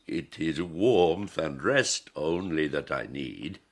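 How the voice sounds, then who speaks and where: An actor playing a lonely, eloquent man-made monster in an audiobook drama, speaking formally and haltingly with a gravelly voice. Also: very deep voice, expressive, exaggerated voice. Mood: meek, anxious. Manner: slow, halting, formal old-fashioned speech. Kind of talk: dramatised audiobook monologue and dialogue.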